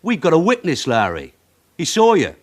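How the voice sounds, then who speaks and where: Blunt, sardonic British police sergeant. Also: male voice, on the high side, wildly swooping pitch, moderate pace, slightly rough, exaggerated voice. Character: tough, sardonic male police detective sergeant. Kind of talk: radio crime drama acting.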